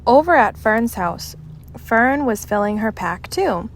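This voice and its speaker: A woman's voice: a little high, lively intonation, measured pace, slight background noise. A woman narrating a children's chapter book aloud as a storyteller. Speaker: storybook reader.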